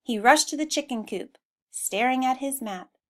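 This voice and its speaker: A woman reading a children's storybook aloud in an expressive style. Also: high voice, normal speed. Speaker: storybook reader.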